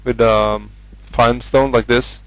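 A man's voice: mid-range voice, level tone, takes it slowly, a bit bright, no room sound, rough recording. A young man from Norway with a Norwegian accent, casually commentating his game with many hesitant fillers. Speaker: gamer.

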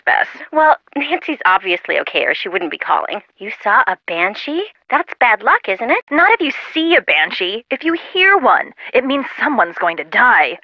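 A woman's voice: a little high, no echo. An actress voicing a breezy, sardonic teenage American girl gossiping on a phone call in a video game. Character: chatty, teasing teenage American girl, loyal friend.

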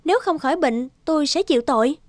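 High-pitched young peasant girl. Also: lively intonation, quick pace, dry recording, studio quality. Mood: firm, businesslike. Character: slim young peasant woman on a secret mission. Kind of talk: Vietnamese animated moral tale voice acting.

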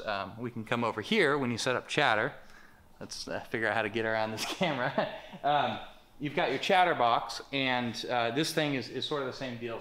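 A man's voice: mid-range voice, pushed and bright. Casual young man explaining in a workshop. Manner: casual, filler-heavy, gestures while explaining. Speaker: company presenter. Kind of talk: casual product walkthrough. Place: large bare warehouse workshop, indoors.

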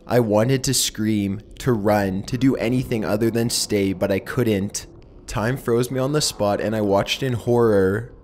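A man narrating horror stories in a measured, somber, deliberate manner as the host of his channel. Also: fairly low pitch, steady tone, a little mellow, a little hiss or hum. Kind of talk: scripted horror story narration.

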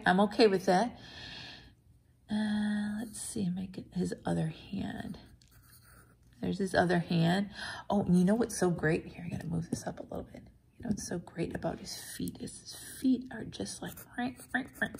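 A woman's voice: mid-range voice, moderate pace, gravelly, husky. An American woman teaching young children through a read-aloud and drawing lesson, with encouraging, chatty asides. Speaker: teacher reading to a kindergarten class.

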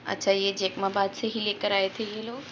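A woman's voice: mid-range voice, little pitch movement, moderate pace, lo-fi sound, noisy background. A young woman, casually chatting to viewers in her home kitchen while filming on a handheld phone. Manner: chatty, upbeat, addresses viewers directly. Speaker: vlogger. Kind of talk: casual home cooking vlog. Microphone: phone camera held in hand.

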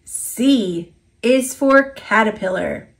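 American lady reading a kids abc book super slowly, slightly high voice, careful simple wording. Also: expressive, vocal fry, poor audio. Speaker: narrator reading aloud for toddlers. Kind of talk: slow alphabet picture-book read-aloud.

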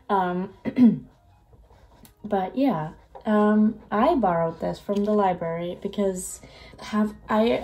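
A woman's voice: lowish voice, poor audio. A young woman whose first language is Finnish, chatting casually in a reading vlog, a little under the weather, recorded on a webcam in a small room. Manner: chatty, animated, self-deprecating. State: slightly sick, voice lower than usual. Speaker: book vlogger.

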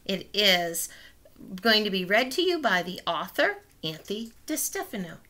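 Lady doing sunday school from her kitchen on a webcam, bright lively voice. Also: medium pitch, normal speed, slight background noise. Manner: bright, encouraging. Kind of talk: talking to children at home. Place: home kitchen, indoors.

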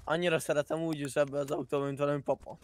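A man's voice: on the high side, level tone. A man chatting casually as a fellow player in a game. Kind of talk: casual chat. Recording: clear car engine noise behind.

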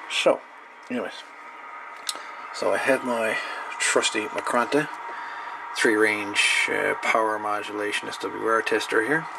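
A man's voice: on the low side, vocal fry. A man giving a casual, unscripted hands-on electronics tutorial in an easygoing, rambling, informal way, recorded at an indoor workbench. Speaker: electronics hobbyist demonstrating a DIY radio project. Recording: noisy camera microphone at a workbench.

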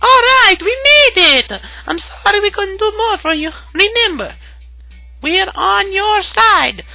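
A man's voice: extremely high pitch, expressive, a little slow, creaky, bright, forceful tone, no echo, soft background music, heavily acted. A man voicing a friendly, very girly character in a video game.

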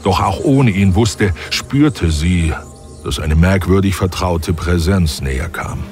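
A man narrating a science fiction audio drama in a measured, grave way. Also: deep voice, a little mellow, faint music behind. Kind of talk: scripted narration.

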